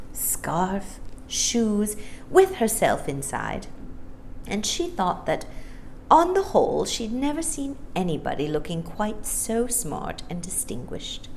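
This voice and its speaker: A woman reading a children's story aloud in an expressive, theatrical way, acting out the dialogue. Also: medium pitch, a little hiss or hum. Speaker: storytime reader.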